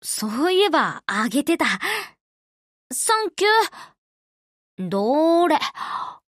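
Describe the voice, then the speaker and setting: Cool, high-voiced tomboy anime girl. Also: sing-song, slow pace, a bit bright, dry recording, studio quality, exaggerated voice. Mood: casual, surprised. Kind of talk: Japanese anime game voice acting.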